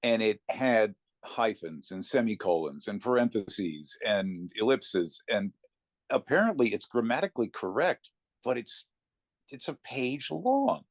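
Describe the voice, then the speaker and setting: A man's voice: on the low side, very animated, unhurried, no echo, studio quality. A man talking casually as a guest on a podcast. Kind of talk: casual chat.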